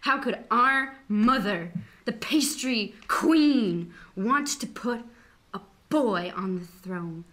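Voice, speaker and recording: Woman acting a hot-headed princess, protective, dramatic, bright, animated pitch, improvised radio comedy. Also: takes it slowly. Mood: indignant. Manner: dramatic, forceful. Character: Felicity, hot-headed protective royal sister with sword.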